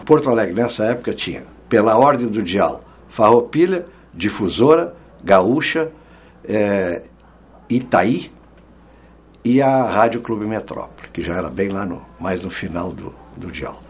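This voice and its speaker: Older guy, husky voice, reminiscing, voice trails off. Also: lowish voice, lively intonation, measured pace. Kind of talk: interview recollection.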